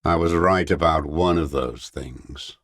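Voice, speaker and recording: Game role: aged revolutionary holdout, deep-voiced, very slow delivery, scornful, weary and unrepentant. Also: male voice, a bit bright, no room sound, clean studio sound, exaggerated voice. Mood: bitter recounting. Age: older. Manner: contemptuous, ideological, slow and weary. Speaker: video game character actor. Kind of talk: dramatic game voice acting, bitter monologue.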